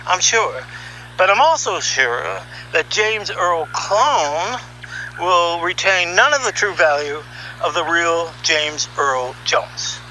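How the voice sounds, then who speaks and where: Middle-aged male storyteller, high and very sharp-edged, conversational and emphatic, over faint background hum. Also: expressive, slow, rough recording. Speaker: narrator, voiceover producer. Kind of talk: conversational first-person storytelling.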